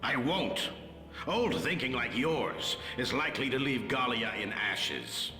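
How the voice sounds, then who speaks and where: A domineering scheming archduke. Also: male voice, mid-range voice, sing-song, unhurried, sharp tone, soft background music. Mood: commanding. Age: middle-aged. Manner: commanding, lecturing. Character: older male rebel leader turned archduke, scheming and domineering. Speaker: game character. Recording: reverberant with game score behind.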